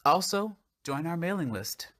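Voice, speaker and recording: A man's voice: a little high, very animated, measured pace, sharp tone. A man giving a scripted closing address to listeners as a theater's artistic director.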